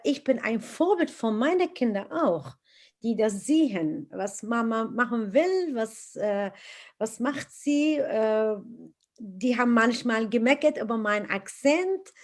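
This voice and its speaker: A middle-aged woman from Iraq who speaks German, telling her own story in an interview, earnest and animated, with frequent hesitations. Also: on the high side.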